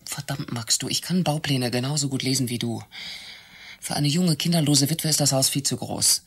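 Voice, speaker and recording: An actress in a radio play, playing a bitter, jealous secretary who speaks in a reproachful, hesitant way. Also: female voice, very deep voice, husky, full character voice. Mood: accusing. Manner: sarcastic, reproachful, hesitant pauses. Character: bitter, jealous secretary and former mistress of her boss. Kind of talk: naturalistic German radio play acting.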